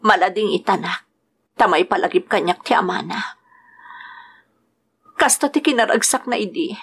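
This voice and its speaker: Lady as a young widowed mom, wistful and sad, ilocano accent, mid pitch, music behind her. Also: a bit bright. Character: grieving young widowed mother, soft and wistful. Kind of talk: Ilocano audio drama acting.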